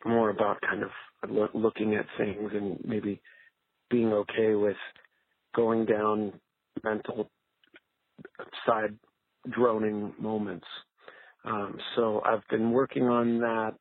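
A man's voice: on the low side, flat delivery, slow pace, long pauses, husky, no room sound. A man from Canada leaving a casual call-in message over a muffled phone line, speaking hesitantly and trailing off. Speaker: caller.

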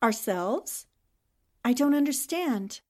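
Woman acting a defiant, higher-voiced captive newspaperwoman. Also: animated, takes it slowly, dark tone. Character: defiant, sharp-witted young American newspaperwoman held captive. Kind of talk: old-time radio drama acting.

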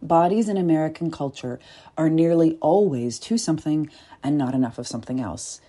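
A woman with a deep, soft voice speaking in a reflective, deliberate way, with pauses and whispered asides. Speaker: body-work coach. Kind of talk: reflective spoken essay.

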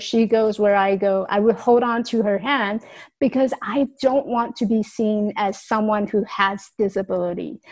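A woman's voice: on the high side, darkish tone, low-quality recording. Woman from Taiwan telling her story. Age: adult. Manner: earnest, reflective, with small grammar slips. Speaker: speaker sharing her life story. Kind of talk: personal storytelling talk.

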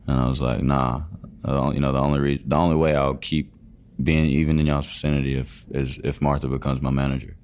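A young man, a country singer giving quiet, brief and understated interview answers. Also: extremely low pitch, little pitch movement, brisk. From Georgia, US.

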